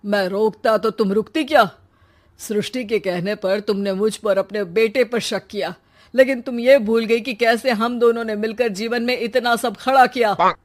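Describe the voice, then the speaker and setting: A woman playing a wronged but forgiving middle-aged husband and father in a moral story, measured and earnest. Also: on the high side, lightly acted. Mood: reproachful.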